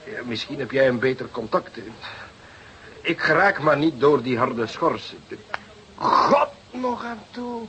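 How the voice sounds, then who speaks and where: A man's voice: mid-range voice, lively intonation, slow pace, pushed and bright, a little hiss or hum, low-quality recording. A man acting an eager, exasperated young police detective in a radio play, theatrical, sarcastic and emphatic. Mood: explaining.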